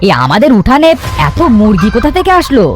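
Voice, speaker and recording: Woman as a cartoon village son: excitable and earnest, high voice, very animated swings, pleading delivery. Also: a little fast, music in the background. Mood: excited. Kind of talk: cartoon voice acting.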